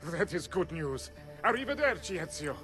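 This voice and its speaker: A man playing a warm, weary, fretful Italian stable hand in a video game, with an Italian accent. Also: fairly high pitch, very animated, moderate pace, soft background music. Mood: grateful, warm. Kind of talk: video game cutscene acting.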